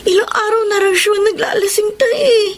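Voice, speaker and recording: A woman's voice: extremely high pitch, a little fast, slight background noise. A female actor playing a distraught young woman who is crying in a radio drama. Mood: tearful.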